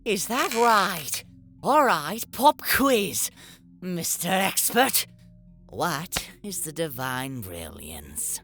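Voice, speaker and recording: Stern, slow-spoken professor, acted out. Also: male voice, high-pitched, very animated, bright, forceful tone, soft background music, exaggerated voice. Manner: formal, lecturing. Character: stern, prim, flustered male professor. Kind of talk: scripted animated roleplay acting.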